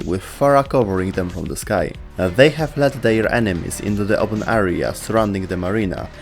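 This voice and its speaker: A man's voice: on the low side, a little mellow. A man reading scripted narration as the narrator of a video game lore explainer.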